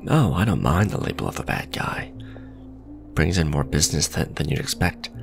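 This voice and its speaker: A man playing a smug, suave villain businessman in a romantic ASMR audio drama, sardonic and conversational, teasing, with a low gravelly voice. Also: sing-song, normal speed, music in the background, heavily acted. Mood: smug, matter-of-fact. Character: smug male villain, suave luxury fashion businessman on a date.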